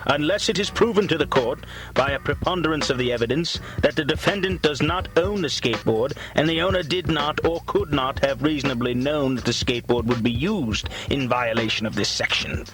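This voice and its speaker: A man narrating in a mock-solemn documentary style, like a grave orator, with pompous and deliberate delivery. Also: mid-range voice, poor audio. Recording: music behind the voice. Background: music.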